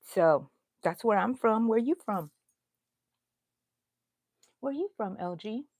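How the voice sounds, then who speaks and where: A woman's voice: mid-range voice, animated, takes it slowly, darkish tone, dry recording, clean studio sound. A middle-aged woman from Houston, chatting loosely with her livestream viewers while she crochets and answers their comments. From Houston, Texas. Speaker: crochet channel host.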